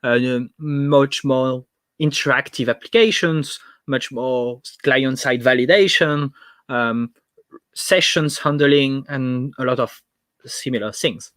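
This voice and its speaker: A man's voice: mid-range voice, slow, a bit bright, no echo. French man giving a tech talk. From France. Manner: hesitant, frequent fillers and restarts. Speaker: conference speaker. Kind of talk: technical presentation. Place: home room.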